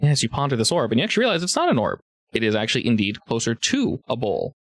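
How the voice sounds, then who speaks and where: A man's voice: mid-range voice, wildly swooping pitch, fast talker, a bit bright, no echo. A man improvising narration as game master of a tabletop roleplaying game, informal and joking with the players.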